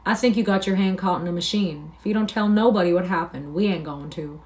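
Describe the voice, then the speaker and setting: A woman voicing a gruff, authoritative ranch man with blunt, coarse rustic speech, in an audiobook reading. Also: on the low side, fairly quick, a little mellow, close to an everyday voice. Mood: firm. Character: gruff, authoritative, plain-spoken male ranch hand.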